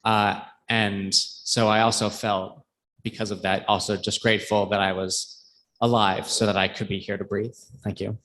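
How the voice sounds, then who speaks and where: Low-voiced man, halting and reflective. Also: little pitch movement, a bit bright. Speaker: congregation member. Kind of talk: informal personal sharing at church. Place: church service, live. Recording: faint background noise, live room.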